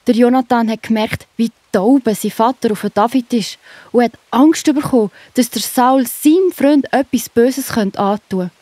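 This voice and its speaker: A woman's voice: on the high side, normal speed, dark tone, no echo. A woman with a Swiss German accent narrating a scripted Bible story for children. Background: music.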